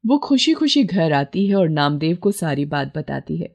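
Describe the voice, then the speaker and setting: Woman narrator for a cartoon story, husky voice, steady telling. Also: sits low, moderate pace.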